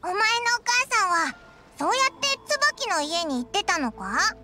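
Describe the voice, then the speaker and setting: Very high, childlike fairy companion. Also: female voice, moderate pace, heavily acted. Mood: eager. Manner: brash boyish speech, exclamatory and expressive. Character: small floating fairy companion, childlike, curious, treasure-loving chatterbox. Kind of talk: anime game character acting.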